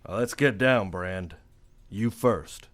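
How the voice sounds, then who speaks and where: A man's voice: on the low side, takes it slowly. A man with an American accent playing a weary, stern, brooding marshal in a western radio drama, speaking in a terse and grave way.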